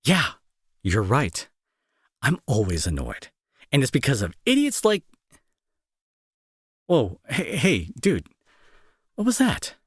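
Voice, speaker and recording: A man's voice: mid-range voice, huge pitch swings, slow pace, long pauses, slightly rough, dry recording, clean studio sound, exaggerated voice. A young man playing an irritable, sarcastic bully who scolds with hidden worry, in an intimate roleplay audio drama. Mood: exasperated, scolding, then alarmed, concerned. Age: young adult. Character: irritable young male bully, gruff but secretly worried.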